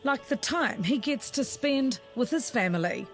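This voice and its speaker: A woman reporter delivers scripted news narration with an even, clear delivery. Her voice sits a little high. A music bed plays clearly beneath her. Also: a little slow. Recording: music bed under voice-over.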